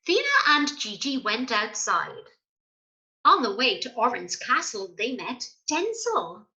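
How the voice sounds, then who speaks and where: A woman reads a storybook to young children in a high, very animated voice. She goes very slowly and expressively, turning to her listeners with questions and asides. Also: poor audio. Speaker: primary school teacher reading aloud. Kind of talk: children's story read-aloud with asides to pupils.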